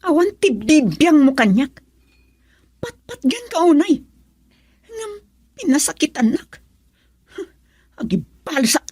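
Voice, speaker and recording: A woman's voice: high voice, very animated, slow pace, long pauses, warm tone, no room sound, full character voice. An actress in a radio drama playing a spiteful, defiant, scheming young woman in an emotional manner. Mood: hurt, tearful.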